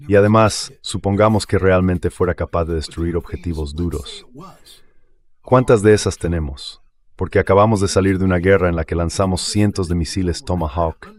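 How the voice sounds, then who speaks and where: A man's voice: deep voice, darkish tone. A man reading a dubbed political and military commentary in an emphatic, explanatory way, in short declarative sentences. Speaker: translated voice-over of an interview guest.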